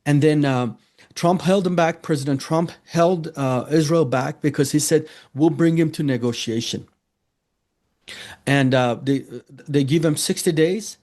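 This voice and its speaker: A man's voice: on the high side, measured pace, darkish tone. A man from Iran with an Iranian accent, a native Farsi speaker, answering interview questions earnestly with many fillers and restarts. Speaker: interviewee, ministry leader.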